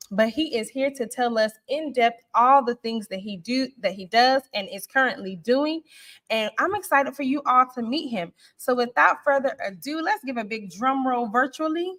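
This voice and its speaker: Bright upbeat American woman host. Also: a little high. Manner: upbeat, chatty, laughs often. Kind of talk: online interview intro and chat.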